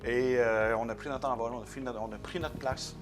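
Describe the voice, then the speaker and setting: A man's voice: medium pitch, fairly even intonation, brisk, over music. A man with a Québécois French accent, a company president explaining his work in a casual interview, matter-of-fact. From Quebec.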